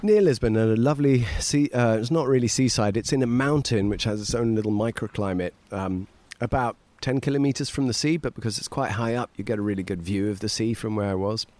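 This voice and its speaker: A man's voice: on the low side, brisk, few pauses, darkish tone. A middle-aged man from Portugal, a podcast guest, talking casually and rambling with frequent laughs. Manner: rambling, laughs often, playful. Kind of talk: casual conversation.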